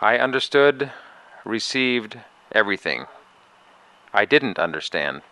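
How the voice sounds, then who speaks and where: A man reading the prompts for a language lesson in a plain, even scripted narration. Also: fairly low pitch, takes it slowly.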